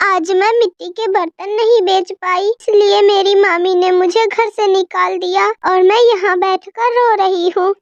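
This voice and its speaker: A woman's voice: very high voice, fairly even intonation, a little slow, hardly any pauses, dry recording, highs cut off. A woman voicing a meek, hardworking orphan girl bird in a children's cartoon, speaking politely and pleadingly. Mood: sad. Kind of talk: children's cartoon story acting.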